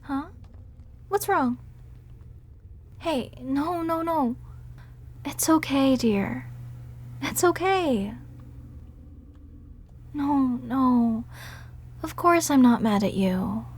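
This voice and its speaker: A woman voice actor performing a sweet, gentle character in an acted audio roleplay, talking in a bubbly, soothing way. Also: high voice, lively intonation, very slow, long pauses, slight background noise.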